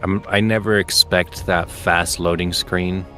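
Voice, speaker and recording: Deep-voiced man chatting over a game. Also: measured pace, soft background music. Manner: relaxed, joking, laughs often. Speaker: co-streamer. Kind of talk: casual gameplay chat.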